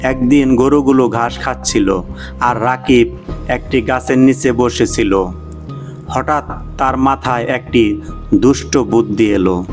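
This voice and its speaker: A man narrating a cartoon moral story for children in a measured, scripted storytelling way. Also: medium pitch. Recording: music behind the voice.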